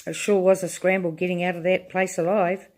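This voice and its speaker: A woman's voice: on the low side, flat delivery, fairly quick, darkish tone, close to an everyday voice. A woman voicing an eager, folksy male astronaut reporting over the radio.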